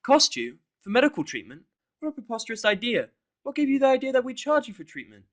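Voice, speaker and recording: An earnest female pony nurse played by a man in a cartoon fan story. She sounds prim and easily appalled, pitched above a male register. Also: big pitch swings, fast talker, fairly bright tone, full character voice.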